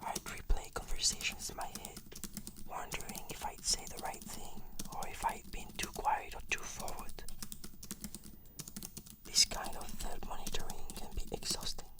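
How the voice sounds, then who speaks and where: Whispering woman in an ASMR roleplay. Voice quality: breathy whisper. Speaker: therapy client in roleplay. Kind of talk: whispered ASMR, scripted answers.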